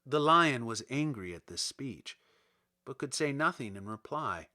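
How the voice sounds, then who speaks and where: A man reading a storybook aloud as narrator, in an expressive, deliberate manner. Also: mid-range voice. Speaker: audiobook narrator.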